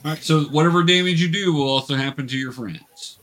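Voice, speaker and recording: A man's voice: on the high side, fairly quick, fairly bright tone. A man acting as game master, patiently explaining rules and narrating a tabletop fantasy game.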